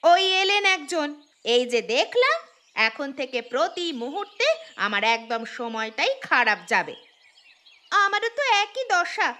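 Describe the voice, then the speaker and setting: A woman's voice: sits high, sing-song, measured pace, bright, forceful tone, full character voice. A woman playing a proud, quarrelsome young village housewife in a cartoon story, taunting and emphatic. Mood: haughty, quarrelsome. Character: sharp-tongued, proud young village housewife, a boastful cook. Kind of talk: cartoon moral-story voice acting.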